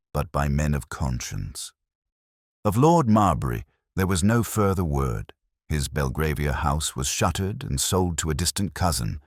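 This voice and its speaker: A very low-voiced man narrates a detective tale. His delivery is measured and solemn, yet the pitch moves expressively through each phrase. The recording is close and dry. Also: no room sound. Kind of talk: scripted audiobook narration.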